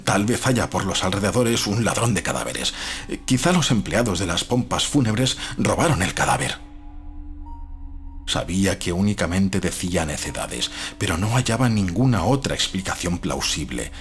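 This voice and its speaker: A man's voice: sits low, big pitch swings, normal speed. A man reading a gothic horror audiobook as a scripted, dramatic narration. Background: faint music.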